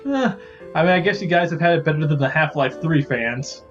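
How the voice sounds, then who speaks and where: A man's voice: a little high, fairly quick, fairly bright tone. A man giving casual, rambling gameplay commentary as host of a gaming series. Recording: dull lo-fi mic, faint music behind.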